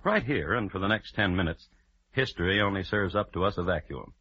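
Droll storyteller, low lively voice, measured mock-formal delivery, muffled vintage radio sound. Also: male voice, husky, exaggerated voice. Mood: wry, droll. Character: wry, wordy male storyteller of a historical crime tale. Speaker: narrator. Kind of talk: old-time radio drama narration.

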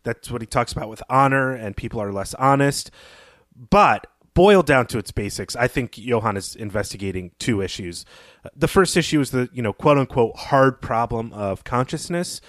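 A man with a medium-pitched voice and a close, dry sound co-hosts a podcast. He talks conversationally, in a thoughtful and academic way, like someone analysing literature. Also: lively intonation, normal speed, fairly bright tone, no echo. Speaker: podcast co-host.

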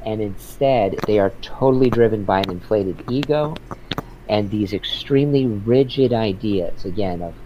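A man's voice: lowish voice, dark tone, dull audio, slight background noise. A man working as an interpreter, talking earnestly in a casual conversation and often ending sentences with "right?".

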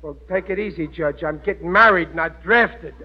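A man's voice: a little high, moderate pace, lightly acted. An American actor playing a middle-aged, dim-witted but good-natured working-class family man, wisecracking and blustering in a classic radio comedy. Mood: flustered. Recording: noisy, muffled vintage radio recording.

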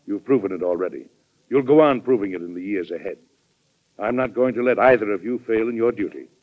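A man's voice: fairly low pitch, fairly quick, close to an everyday voice. An actor playing a weary but firm, fatherly American president in an old-time radio drama, speaking earnestly with authority and occasional hesitation. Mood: firm, persuasive. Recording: muffled vintage radio drama recording.

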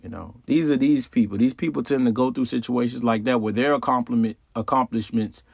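A man's voice: mid-range voice, a little fast, gravelly, breathy edge, no room sound, studio quality. A man hosting an astrology talk in a casual, conversational way, repeating phrases and asking rhetorical questions. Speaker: astrology channel host. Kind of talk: casual explanatory monologue.

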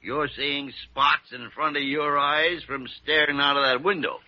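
An older American man acting a crotchety, sarcastic hospital doctor in a 1940s radio drama, gruff, grumbling and blustering. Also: a little high, steady tone, a little slow, close to an everyday voice. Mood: testy. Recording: dull old radio broadcast.

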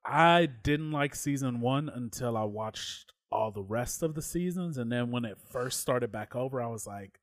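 A man's voice: mid-range voice, moderate pace, few pauses, dry recording. Laid-back, hesitant man, dry sound. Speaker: podcast co-host. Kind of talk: casual chat.

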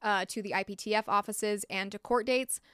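A woman's voice: mid-range voice, fairly even intonation, a little fast, fairly bright tone, clear, resonant tone, dry recording. An American woman hosting a podcast, narrating a true story with blunt, opinionated asides from a close mic. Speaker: podcast host.

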